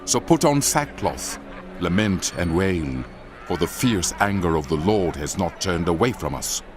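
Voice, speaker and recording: A man's voice: sits low, expressive, a little slow, music in the background. An actor playing an anguished prophet who warns his doomed people, in an impassioned, urgent dramatized scripture reading. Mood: grave warning.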